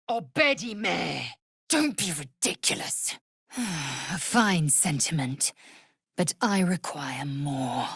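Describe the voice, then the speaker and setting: A woman voicing a fierce, proud alien warrior in a video game, speaking with a husky rasp in a haughty, clipped, commanding and formal manner. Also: mid-range voice, a bit bright, no echo, clean studio sound, exaggerated voice. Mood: desperate. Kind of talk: video game character voice acting.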